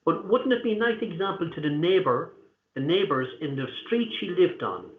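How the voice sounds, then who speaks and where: A man with an Irish accent answering interview questions in an earnest, deliberate way, pausing often with fillers. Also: fairly high pitch, very animated. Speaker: interview guest, activist. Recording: tinny echoey call line.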